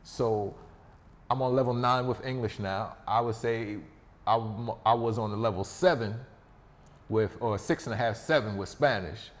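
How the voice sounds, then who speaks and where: A man appearing as a podcast guest, telling his stories with confident, boastful swagger. His voice is low, and it trails off into a long reverb tail. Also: moderate pace. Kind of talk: casual interview chat.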